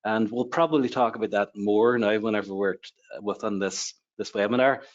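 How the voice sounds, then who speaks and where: A man's voice: fairly low pitch, brisk. A man from Ireland with an Irish accent, a lecturer explaining his work on a webinar panel. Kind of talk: explanatory presentation. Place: online webinar. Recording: dull, lo-fi webinar audio.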